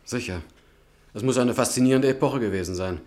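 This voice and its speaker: A man's voice: medium pitch, a little fast, darkish tone, close to an everyday voice. An actor in a German radio play, playing an earnest, admiring young man of letters who speaks politely and articulately. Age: young adult. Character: earnest, admiring young male writer, respectful and eager.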